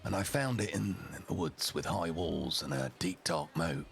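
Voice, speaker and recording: A man voicing a composed, coldly defiant young noblewoman in a storybook tale, gravelly and deliberate. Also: sits low, lively intonation, faint music behind. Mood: cold, measured. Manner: deliberate, dramatic, icy.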